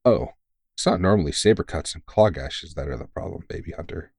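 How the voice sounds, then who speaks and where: A man playing a kindly, tired veteran vampire hunter in an intimate audio roleplay, reassuring a rookie in an informal, gently teasing and sweary way. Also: low voice, brisk, vocal fry. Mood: encouraging, wry.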